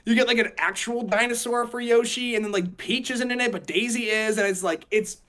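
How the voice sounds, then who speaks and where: A man co-hosting a casual podcast discussion, talking in an animated storytelling way and acting out imagined reactions. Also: extremely high pitch, fairly quick. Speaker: podcast co-host.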